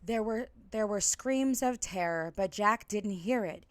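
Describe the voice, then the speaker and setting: A woman reading stories aloud and chatting in an expressive, theatrical way as a live storyteller. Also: mid-range voice, measured pace, no echo.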